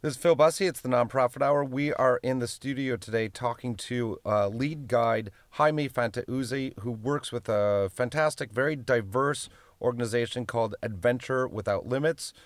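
A male radio host interviews a guest in a studio. He asks questions haltingly, with lots of fillers and restarts, and the sound is dry and close. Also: mid-range voice, no echo.

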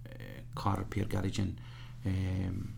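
A man in his fifties from Pakistan, reminiscing in an interview in a halting way full of fillers. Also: sits low, monotone, vocal fry, darkish tone, a little hiss or hum. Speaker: guest.

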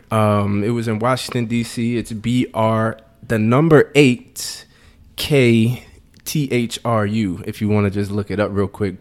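A man co-hosting a podcast, talking in a casual, colloquial way as he interviews a guest. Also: medium pitch. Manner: colloquial, informal. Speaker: podcast co-host.

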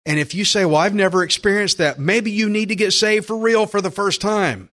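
A man delivers a sermon in a somewhat high voice. He is earnest and emphatic, stretching out key phrases for weight as he preaches and reads scripture. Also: brisk, sharp tone.

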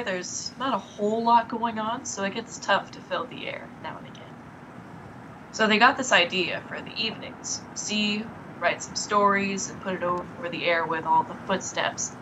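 Woman playing a bright, brash small-town local. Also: mid-range voice, slight background noise, poor audio. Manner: folksy, brash, colloquial. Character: chatty, proud small-town local touting the radio station. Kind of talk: in-character audio drama monologue.

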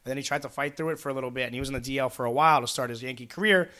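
A man co-hosting a sports podcast with a slightly raspy, hoarse voice, talking quickly and casually with frequent restarts. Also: mid-range voice, steady tone, pushed and bright. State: hoarse after a busy weekend. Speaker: podcast co-host.

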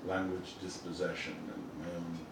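A man whose first language is Spanish, an author talking thoughtfully about his book in a halting, self-correcting way. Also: deep voice, monotone, gravelly. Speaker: author speaking at a book event. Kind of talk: reflective informal talk. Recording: echoey room from a distant mic, noisy.

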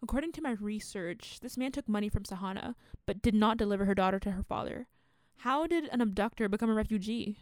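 A woman's voice: medium pitch, fast, no room sound, studio quality, close to an everyday voice. A woman acting in a radio play as a determined, indignant investigator. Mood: pressing.

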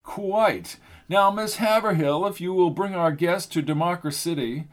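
A man acting out a stiff, commanding male official showing guests around a world's fair in an audiobook. He speaks crisply and formally in a raised, higher register, every word precise and clipped. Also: measured pace.

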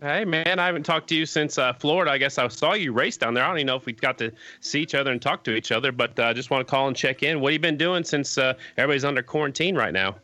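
Bright-voiced easygoing podcast host. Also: male voice, medium pitch, fast talker, hardly any pauses, faint music behind. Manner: friendly, easygoing, says "right on" a lot. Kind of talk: casual interview.

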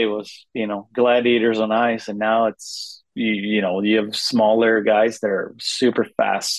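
A man's voice: lowish voice, no echo, poor audio. Swedish-born man, 30s, casual guest, rambling with fillers, dry close mic. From Sweden. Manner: rambling, many fillers and restarts. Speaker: retired hockey player, guest. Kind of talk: casual interview answers.

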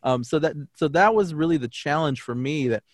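A man's voice: fairly high pitch, lively intonation, brisk, no room sound. A young adult man, a native English speaker who teaches German, talking earnestly and reflectively in a podcast interview, often restarting his sentences.

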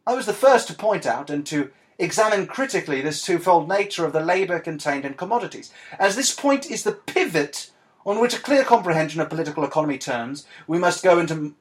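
Man playing a scornful, world-weary dead woman writer, high-pitched. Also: natural voice. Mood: dry reading. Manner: deadpan, exasperated, halting with repeated phrases. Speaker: podcast guest host. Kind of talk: comic in-character monologue and dramatic reading.

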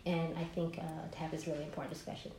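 A woman's voice: low-pitched, rapid-fire. A Somali American woman who directs an NGO, telling her story in an interview with frequent fillers. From Somaliland, raised in the United States. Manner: animated, warm storytelling with many fillers. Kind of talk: conversational interview. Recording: echoey room with faint noise.

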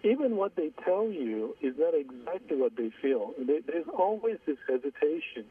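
A man in his forties with an Iranian Armenian accent, calling in to a radio show, hesitant and halting, repeating his words. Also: on the high side, very animated. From Iran. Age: 40s. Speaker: caller. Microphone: phone line. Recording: distant husky phone line.

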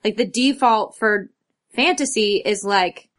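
A woman co-hosting a casual podcast chat, talking earnestly and haltingly, with "like" scattered all through. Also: mid-range voice. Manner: earnest, halting, filler-heavy with frequent "like". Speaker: podcast co-host. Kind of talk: casual chat about fandom and stories.

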